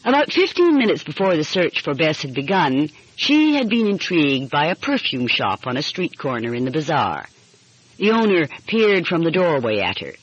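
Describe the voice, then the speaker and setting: Woman narrator with a lively, husky voice. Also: sits low, moderate pace, dry recording. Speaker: audiobook narrator. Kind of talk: scripted narration of a light mystery story. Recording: muffled, dull lo-fi audio.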